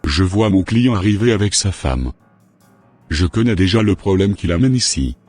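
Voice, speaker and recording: A man voicing a menacing, domineering spiritual master in an amateur cartoon dub, speaking in a commanding, deliberate way. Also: low voice, expressive, a little mellow, husky, soft background music. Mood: solemn, knowing. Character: menacing, domineering male spiritual master, a sinister occult healer.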